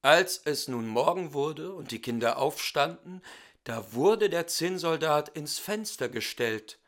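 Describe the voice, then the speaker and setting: A man reads a fairy tale in a bright, fairly high voice. His delivery is theatrical and very lively in pitch, with each phrase carefully set out for the listener. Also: measured pace. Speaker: storyteller. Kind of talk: expressive fairy-tale reading.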